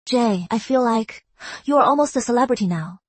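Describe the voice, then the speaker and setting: A young woman with a high voice who speaks calmly and teases lightly. The recording is close and dry. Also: moderate pace, no room sound. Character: young woman, teasing and calm.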